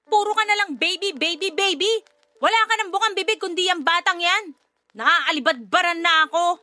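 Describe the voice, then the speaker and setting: Very high-voiced, resentful young wife. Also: female voice, normal speed, sharp tone, no echo. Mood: angry, defiant. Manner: indignant, argumentative, emphatic complaining. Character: resentful, defensive young wife and reluctant adoptive mother. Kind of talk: Tagalog radio drama acting.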